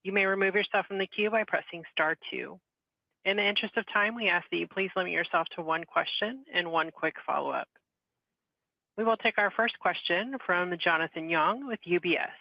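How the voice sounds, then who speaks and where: A woman's voice: lowish voice, fairly quick, vocal fry, no echo. A woman working as a conference call operator, reading out scripted instructions. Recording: dry, dull conference call audio.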